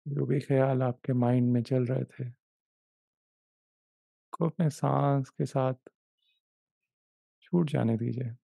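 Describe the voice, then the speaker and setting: A man leading a guided meditation, giving gentle, unhurried instructions in short repeated phrases with long pauses. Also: medium pitch, fairly even intonation, gravelly, no echo. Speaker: meditation guide, life coach.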